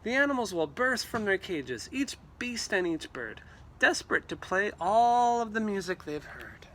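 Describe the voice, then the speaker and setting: A man reading a storybook aloud to children in an animated, theatrical way, with exclamations and asides. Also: high-pitched, normal speed. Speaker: read-aloud teacher.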